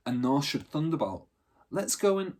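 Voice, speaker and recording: A man's voice: on the high side, big pitch swings, normal speed, a little mellow, pitched above the performer's own voice. A man voicing a passionate, hot-headed boy who leads a gang in a storybook, eager and emphatic with slangy talk. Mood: outraged.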